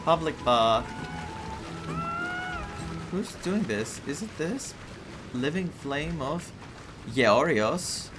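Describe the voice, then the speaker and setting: A man's voice: fairly high pitch, animated, very slow, long pauses. A man from Greece with a Greek accent, a non-native English speaker giving casual commentary as he plays a game. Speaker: game streamer. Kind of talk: casual playthrough commentary, reading dialogue aloud. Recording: game music behind the voice.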